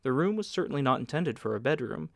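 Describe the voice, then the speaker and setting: A man's voice: mid-range voice, very animated, fast talker, gravelly, no echo. Man narrating an audiobook, very dry sound. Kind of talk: scripted literary narration.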